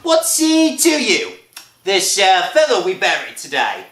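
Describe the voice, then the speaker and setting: Man performing as wary graveyard caretaker, scolding, horror radio drama, animated pitch, roomy echo. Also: measured pace, far from the mic, exaggerated voice. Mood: wary, matter-of-fact. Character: suspicious, scolding old-fashioned cemetery caretaker. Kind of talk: old-time horror radio drama acting.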